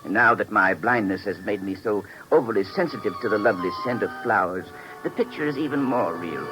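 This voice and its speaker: An actor playing a mild, courteous little old blind gentleman, hesitant and formal, in a 1950s radio drama. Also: lowish voice, animated, fairly quick, music in the background, lightly acted. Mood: wistful. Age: older. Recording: noisy vintage 1950s radio recording.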